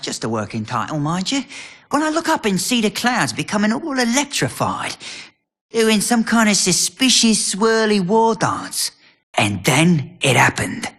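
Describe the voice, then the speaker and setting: Paranoid, cantankerous old eccentric. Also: male voice, high-pitched, no room sound, studio quality, exaggerated voice. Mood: dramatic storytelling. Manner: folksy, rambling, excitable, grumbling. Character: eccentric, cloud-paranoid old man, cantankerous ranting inventor. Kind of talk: video game character acting.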